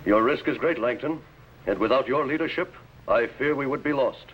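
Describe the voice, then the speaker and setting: A man's voice: medium pitch, normal speed, lightly acted. Man playing a grave rebel knight, dull recording. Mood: grave, indignant. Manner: formal, declamatory. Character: grave, indignant medieval English baron, a rebel knight. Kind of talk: 1950s television costume drama acting. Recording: dull, faintly noisy old TV soundtrack.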